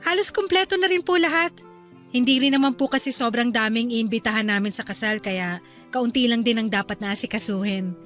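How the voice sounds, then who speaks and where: A woman acting a sweet, polite young bride-to-be in a Filipino radio drama, soft and deferential. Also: on the high side, brisk, faint music behind, close to an everyday voice. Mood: polite, agreeable.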